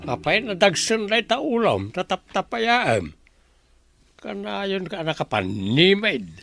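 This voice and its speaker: A man acting out a quarrelsome old pensioner husband in an Ilocano drama. He grumbles bluntly in a high-pitched voice, recorded close and very dry. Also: sing-song, slow pace, gravelly, fairly bright tone, dry recording, faint music behind, heavily acted. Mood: grumbling. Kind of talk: amateur Ilocano audio drama.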